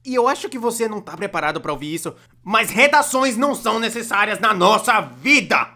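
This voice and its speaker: A Brazilian performer dubbing a young cartoonist who narrates an animated comedy video in a conversational, self-deprecating, complaining way. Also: mid-range voice, fairly quick, exaggerated voice. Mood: wry, explanatory. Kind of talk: scripted comedic storytime narration, fan dub.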